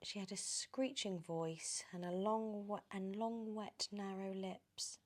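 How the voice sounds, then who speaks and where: British lady doing asmr storytime, super slow, animated with bits of whispering. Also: medium pitch. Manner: animated, slipping into breathy whispers.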